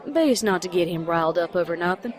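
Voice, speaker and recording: A woman's voice: lowish voice, expressive, a little fast, some chatter behind, natural voice. A woman voicing a plain-spoken, protective country pony in a cartoon audio drama. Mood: cautioning. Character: plain-spoken, protective grown country mare, big sister. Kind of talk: animated fan audio drama.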